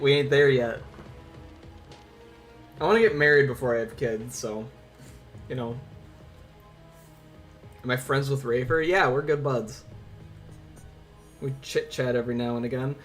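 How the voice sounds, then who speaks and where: A man's voice: mid-range voice, fairly even intonation, a little slow, long pauses. A man in his twenties chatting casually on a livestream, informal and rambling, with some swearing. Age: 20s. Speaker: livestream host. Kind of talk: casual livestream chat with viewers. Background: music.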